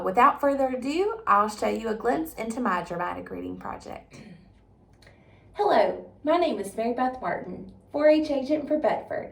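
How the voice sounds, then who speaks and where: A woman presenting in an instructive, expressive way, as for a tutorial or a storybook reading. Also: on the high side, gravelly. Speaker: 4-H agent, presenter. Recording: roomy echo, distant microphone.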